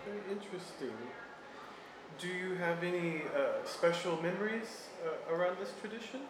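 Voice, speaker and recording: A man interviewing for an oral history recording. He asks measured questions with hesitant fillers. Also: high-pitched. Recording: echoey room with noise.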